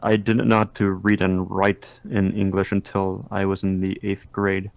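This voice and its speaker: A man's voice: deep voice, completely flat delivery, moderate pace, vocal fry, no echo. A man whose first language is French, chatting casually with friends, grumbling in mock annoyance and correcting himself as he goes. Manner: joking mock-grumpy, self-correcting. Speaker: friend drawing on stream. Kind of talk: casual group chat.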